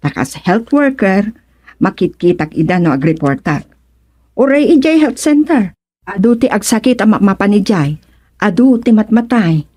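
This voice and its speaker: An actress with an Ilocano accent playing a plain-spoken village health worker in a radio comedy. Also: female voice, medium pitch, sing-song, normal speed, mellow, slightly rough. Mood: matter-of-fact. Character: village health worker, woman, matter-of-fact. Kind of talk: radio drama comedy acting.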